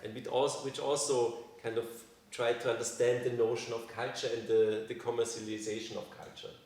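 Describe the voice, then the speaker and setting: A man in his forties with an Austrian accent, a native German speaker, talks reflectively in an interview as an artist, haltingly and often restarting his phrases. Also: mid-range voice, little pitch movement, a little mellow. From Vienna, Austria. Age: 40s. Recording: very echoey room, distant from microphone.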